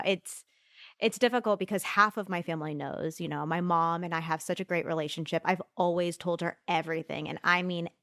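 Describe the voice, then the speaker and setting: A woman's voice: on the low side, fairly bright tone, no room sound, studio quality. A young woman guest on a podcast, talking candidly and at length, laughing often as she shares her story. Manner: chatty, laughs often, talks fast when nervous. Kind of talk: candid interview chat.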